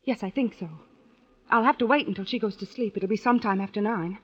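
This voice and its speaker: A woman's voice: medium pitch, fast, close to an everyday voice. An actress playing a frightened but determined young woman in a vintage radio suspense drama. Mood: guarded. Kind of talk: 1940s-50s radio drama acting. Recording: dull old radio broadcast recording.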